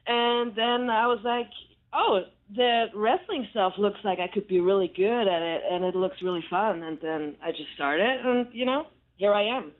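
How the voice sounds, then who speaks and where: Woman, German native, pro wrestler guest, lively and laughing, phone-line sound. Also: medium pitch, normal speed. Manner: animated, laughing, loose and playful. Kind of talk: casual phone interview.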